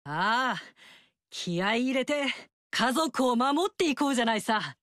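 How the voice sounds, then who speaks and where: A brash, motherly warrior woman descended from a fire goddess, bossing and teasing with big-sister swagger. Her pitch sits fairly high and swings widely. The game studio recording is dry and close. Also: expressive, measured pace, a bit bright, dry recording. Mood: warm.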